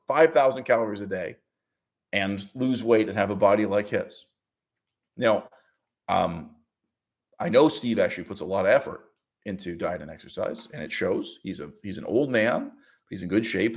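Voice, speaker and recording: A man giving argumentative online commentary in an emphatic, sardonic way, with rhetorical questions and deliberate repetition. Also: on the low side. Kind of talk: argumentative commentary with read-out quotes.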